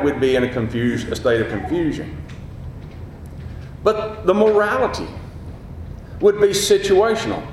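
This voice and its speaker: A man preaching a sermon with a gruff, booming voice and passionate emphasis. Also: a little hiss or hum. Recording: very echoey hall.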